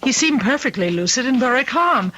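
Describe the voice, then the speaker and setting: A woman's voice: sits high, expressive, brisk, bright, forceful tone, slight background noise, rough recording. Anxious, doting middle-aged mother, radio drama. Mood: concerned, relieved. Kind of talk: old-time radio drama acting.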